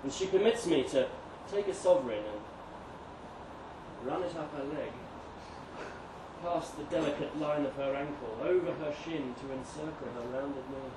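A man giving an expressive, theatrical live reading of a comic historical monologue, swelling and hushing for drama. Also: on the high side, measured pace. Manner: expressive, dramatic, with emphatic swells and hushed drops. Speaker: story reader. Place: live reading event in a venue. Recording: echoey live room, distant mic, noisy ambience.

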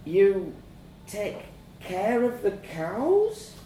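A man's voice: high voice, wildly swooping pitch, drawn-out words, warm tone, echoey room, slight background noise, voice pushed up, exaggerated voice. A man voicing a frightened, naive little boy with a small, whimpering voice in a told childhood story. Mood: puzzled, hesitant.

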